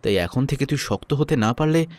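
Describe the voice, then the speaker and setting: A man narrating a first-person audio story and acting its dialogue, in a measured, earnest way. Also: medium pitch, expressive, a little mellow. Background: new-age music.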